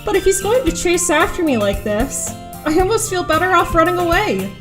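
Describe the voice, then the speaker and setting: A woman playing a reluctant, exasperated high school girl in an audio drama, with dry, grudging first-person narration and dialogue. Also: very animated, fairly quick, music in the background. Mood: wry, resigned.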